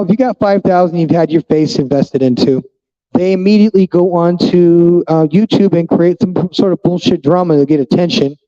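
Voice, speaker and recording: A fifty-year-old man rants straight at his viewers in a casual vlog, blunt and quick to swear. His voice sits a bit high but sounds dark and mellow. The recording is dull and lo-fi. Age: 50s. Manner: blunt, profane, ranting. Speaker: vlogger.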